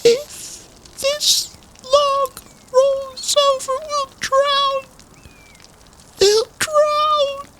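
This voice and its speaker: A man voicing an eerie haunted-house creature that wails slowly in a repeating cry. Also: level tone, noisy background, heavily acted. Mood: eerie wailing. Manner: slow, repetitive high-pitched wailing cry.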